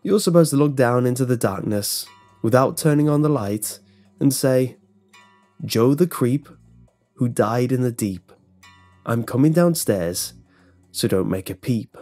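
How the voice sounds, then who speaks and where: A man plays a male security guard in a horror story, uneasy about an old legend. He talks slowly and hesitantly, with faint music underneath. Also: mid-range voice, lively intonation, a little mellow. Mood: telling a legend. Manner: hesitant, stammering when pressed.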